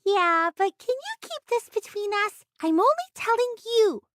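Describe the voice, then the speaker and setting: A woman voicing an excitable, chatty young girl in an anime game, with an eager, childlike and boastful manner. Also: very high voice, a little slow, no room sound, studio quality, exaggerated voice. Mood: confiding.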